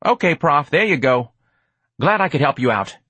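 A man's voice: fairly high pitch, sing-song, moderate pace, sharp tone, breathy edge, no room sound, voice pushed up, full character voice. A man voicing a cocky, streetwise young male hustler who wheedles in casual slang for an audiobook. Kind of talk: audiobook character acting.

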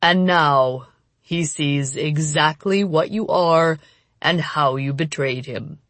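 A woman voicing a cruel, mocking male demon in an audiobook, speaking in a slow, menacing, gloating way. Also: low voice, a bit bright, no echo, voice pushed down. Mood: taunting. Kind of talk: dramatic audiobook character voice.

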